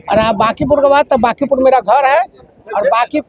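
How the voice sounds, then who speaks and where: A man's voice: extremely high pitch, normal speed. A man, a politician answering a reporter's questions, emphatic and prone to repeating his phrases. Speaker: politician interviewed. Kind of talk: news interview answers. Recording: noisy outdoor news clip.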